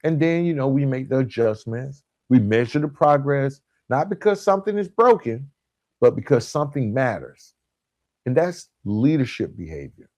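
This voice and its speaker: A man hosting a motivational coaching monologue, speaking directly and informally to listeners with emphatic, deliberate phrasing and repetition. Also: mid-range voice, sing-song, a little mellow, no room sound. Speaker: podcast host and author.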